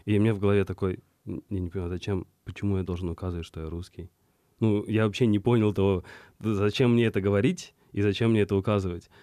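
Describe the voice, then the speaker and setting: A young man from Estonia answering interview questions in a reflective, halting way. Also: lowish voice, steady tone, warm tone, no echo, studio quality. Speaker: guest.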